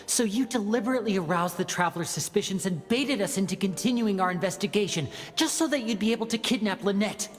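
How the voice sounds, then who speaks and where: A woman's voice: mid-range voice, quick pace, few pauses, long reverb tail, soft background music, natural voice. A woman voicing an earnest, defiant young man, a magician, in an anime game, intense and accusing. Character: earnest, defiant young male magician protecting his siblings.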